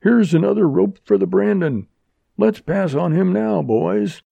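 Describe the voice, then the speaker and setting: A man voicing rough, rowdy Western outlaws who taunt and challenge, in an audiobook. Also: high-pitched, unhurried, warm tone, dry recording, dull audio, voice pushed up, full character voice. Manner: brash, taunting.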